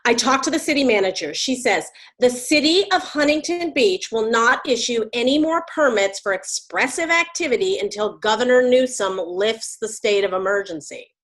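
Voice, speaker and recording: Woman playing high-voiced, anxious activist. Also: animated, normal speed, hardly any pauses, fairly bright tone. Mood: matter-of-fact. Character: anxious, apologetic female activist with a strict wife. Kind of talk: comedic stage acting over video call. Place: at home on a video call.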